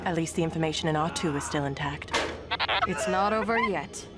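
A woman voicing a feisty young rebel princess in an animated game cutscene, wry and brisk. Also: low-pitched, over loud music, close to an everyday voice. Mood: resolute. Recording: clear effects noise behind the voice.

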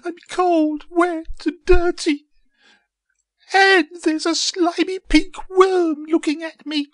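A man's voice: very high voice, fairly even intonation, slow, dry recording, voice pushed up. A man voicing a dainty, dramatic young female seed in a children's storybook, plaintive and exclamatory. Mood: distressed, whining.

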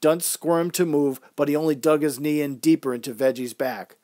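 A man's voice: a little high, steady tone, normal speed, dark tone, no echo, clean studio sound. A man reading scripted first-person narration in the style of an old-time radio drama. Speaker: storyteller.

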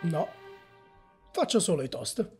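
A man playing an exasperated, sarcastic young flatmate in a dubbed comedy sketch, arguing in disbelief. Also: a little high, unhurried, loud music behind the voice. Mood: incredulous. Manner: incredulous, argumentative.

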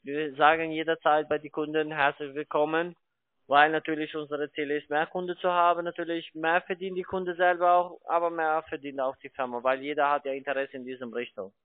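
Higher-voiced salesman on the phone. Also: fairly even intonation, a little fast, no room sound. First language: not German. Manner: wordy, repetitive, stumbling phrasing. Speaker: call centre investment salesman. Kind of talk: sales pitch on a phone call. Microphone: telephone. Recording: thin, band-limited phone line, dry.

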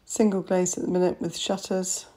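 A woman's voice: fairly low pitch, flat delivery, normal speed, mellow, husky. A woman estate agent narrating a walkthrough of a house, listing its features matter-of-factly in short phrases. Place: inside an old house.

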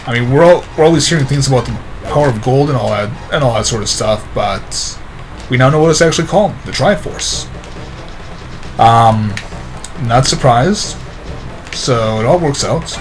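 A man gives casual video game commentary at an ordinary mid pitch. He sounds tired and a touch hoarse, with a wry, self-mocking manner. Game music runs clearly behind him. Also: moderate pace. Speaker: let's play commentator.

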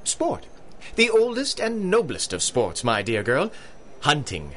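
An actor playing a pompous, jovial British colonel and big-game hunter in a radio drama, with hearty, old-fashioned upper-class talk. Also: male voice, on the high side, huge pitch swings, unhurried, a bit bright, slight background noise, full character voice.

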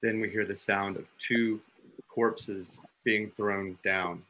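A male narrator reads sound cues and stage directions for an audio drama. His voice is fairly low but very crisp and forward, with faint noise behind it from a video call. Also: steady tone, slow, bright, forceful tone, poor audio. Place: room at home, on a video call.

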